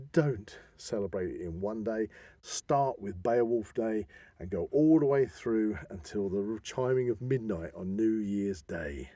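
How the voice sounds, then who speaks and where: A middle-aged British man chats as a podcast co-host in a relaxed, husky voice. He explains things like a practised storyteller, offhand and practical. The sound is very dry, with little room around him. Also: fairly low pitch, dry recording. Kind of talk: casual podcast chat.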